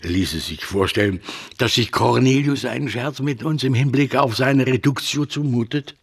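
A man's voice: medium pitch, moderate pace, vocal fry, breathy edge. An actor in a German radio drama playing a deferential, easily flustered local man who speaks with quaint, hesitant formality. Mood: courtly, hesitant. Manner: hesitant, obsequious, old-fashioned formality.

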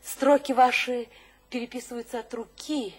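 Actress as high-voiced, exalted salon hostess. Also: female voice, steady tone, slight background noise, lightly acted. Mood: exalted, scornful. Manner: theatrical, declamatory, dramatic pauses. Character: exalted, imperious literary salon hostess, fervently mystical. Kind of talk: audio drama stage acting.

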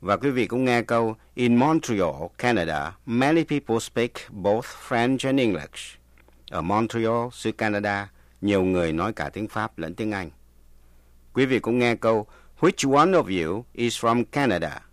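A man's voice: on the low side, lively intonation, normal speed, dry recording, clean studio sound. A native Vietnamese man reading scripted language lesson narration as the presenter.

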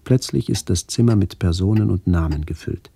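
A man acting in a radio play as a brooding narrator haunted by memories of his lost village, speaking in reflective, halting phrases. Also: deep voice, vocal fry, warm tone, slight background noise.

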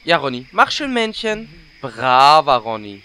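A man's voice: medium pitch, big pitch swings, a little slow, bright, forceful tone, clean tone. A man playing a smarmy, self-absorbed, mocking orc in a parody audio drama. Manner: smarmy, taunting.

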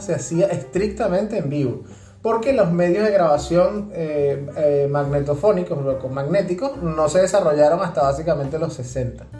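A man chats as a podcast co-host, joking and wandering into explanations. His voice sits in an echoey room, with music clearly playing behind it. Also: fairly high pitch, darkish tone. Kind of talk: casual humorous chat. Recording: roomy echo, music behind voice.

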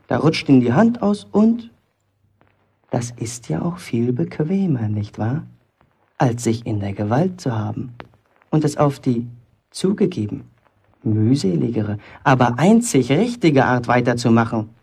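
Sarcastic, gravelly young apprentice. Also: male voice, mid-range voice, warm tone, full character voice. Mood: mock-understanding, lecturing. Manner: pompous lecturing with rhetorical questions. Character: defiant young apprentice bricklayer, sarcastic, mock-prosecutor speechifying. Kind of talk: German radio play acting.

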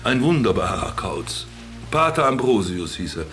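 A man's voice: on the low side, big pitch swings, moderate pace, music in the background. An actor playing a sardonic former businessman in a radio play, speaking with dry irony and a theatrical, lecturing manner. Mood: mock lecturing. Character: sardonic former businessman stranded in a camp, teasing his companion. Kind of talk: German radio play dialogue.

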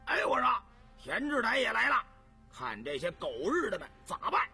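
A man's voice: high voice, animated, unhurried, vocal fry, bright, forceful tone, slightly rough, faint music behind, heavily acted. A man voicing crude, opinionated townsmen in a storybook, with colloquial, blustering talk. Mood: agitated.

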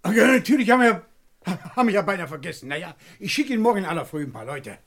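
High, gravelly, hurried police inspector. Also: male voice, sing-song, a bit bright, exaggerated voice. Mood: hurried, flustered. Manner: hasty, bumbling. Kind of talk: 1970s German radio crime comedy acting.

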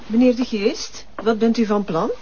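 An actress playing a firm, anxious nurse in a drama. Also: female voice, a little high, steady tone, normal speed, close to an everyday voice. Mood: firm, alarmed. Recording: dull lo-fi sound, faint noise.

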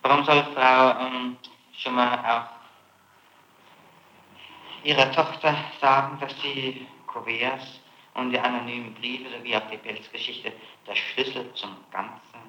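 A man's voice: mid-range voice, little pitch movement, a little slow, pauses often, bright, forceful tone, roomy sound, muffled recording, a little hiss or hum. A man questioning a suspect as prosecutor in a formal, pressing and persistent manner, on an archival tape recording. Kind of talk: formal interrogation.

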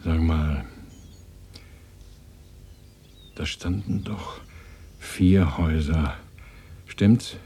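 An actor in a radio drama playing a bitter, probing former resistance fighter with a sardonic, interrogating manner. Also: male voice, rumbling low, slow, gravelly, dark tone, slightly rough, exaggerated voice. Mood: probing, wry. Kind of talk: 1980s German radio drama dialogue.

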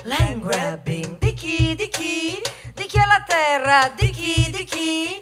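A woman's voice: extremely high pitch, sing-song, slow pace, music in the background. A woman chanting and singing a repetitive, rhythmic theatrical refrain.

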